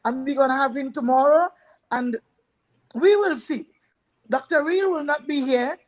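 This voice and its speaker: A woman's voice: high voice, normal speed, a little mellow, poor audio. A woman hosting a live talk show, chatty, addressing viewers and repeating words as she goes. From Trinidad.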